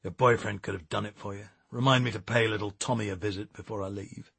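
Man doing a gravelly, menacing greedy killer. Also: on the low side, steady tone, quick pace, a bit bright, no room sound, exaggerated voice. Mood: threatening. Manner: snarling, threatening.

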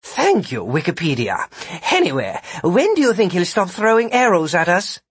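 A man's voice: sits high, huge pitch swings, normal speed, slightly rough, no echo, voice pushed up, full character voice. A man voicing a gleefully flippant, proper magician with dry, sardonic phrasing in an audiobook. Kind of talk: audiobook character voice.

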